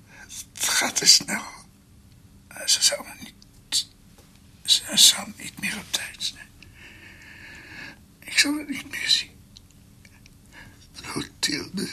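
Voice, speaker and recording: An actor playing a dying old doctor in a radio drama, weary and in pain, speaking in halting, whispered, broken phrases. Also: male voice, high voice, bright, forceful tone, breathy edge, heavily acted. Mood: despairing. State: in pain, struggling for breath.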